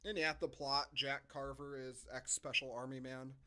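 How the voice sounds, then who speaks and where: A man's voice: mid-range voice, flat delivery, normal speed, sharp tone. A man co-hosting a podcast, casually reviewing a film with sarcastic asides and frequent swearing. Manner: sarcastic, profane. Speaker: podcast co-host.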